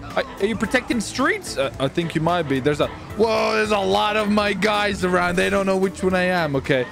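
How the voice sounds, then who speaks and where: A man roleplays casually in a video game with a highish, very animated voice. He comes across as excitable and cocky, joking and swearing freely, with faint music behind him. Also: normal speed, gravelly. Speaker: streamer and roleplayer.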